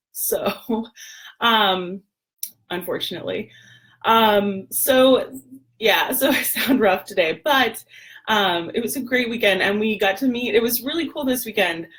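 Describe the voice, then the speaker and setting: Hoarse gravelly-voiced woman, low-pitched. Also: fairly bright tone. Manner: chatty, frequent ums and laughs, throat clearing. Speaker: doula and lactation educator, livestream host. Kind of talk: casual live video chat.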